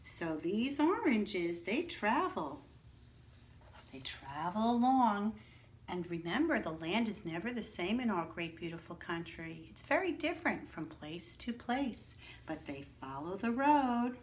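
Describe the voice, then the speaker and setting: A woman reads a children's picture book aloud to her class at an unhurried, careful pace. Her voice moves widely up and down as she makes each line expressive. She keeps stopping to explain things and quiz the children in a patient teacher's manner. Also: medium pitch. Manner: slow, clear, expressive teacher voice, asking the class questions. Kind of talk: children's story read-aloud with teaching asides.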